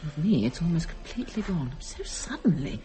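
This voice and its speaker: An actress playing a sceptical, curious woman in a radio horror drama, measured and reasoning in the way she talks. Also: low voice, very animated, mellow. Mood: puzzled relief. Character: composed, sceptical woman, curious rather than afraid. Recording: old radio broadcast with music behind.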